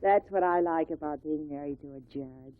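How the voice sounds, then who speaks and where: An actress playing a judge's gentle, affectionate wife in a 1950s radio drama. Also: female voice, sits low, moderate pace, natural voice. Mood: pleasant. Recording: dull narrow-band 1950 radio recording.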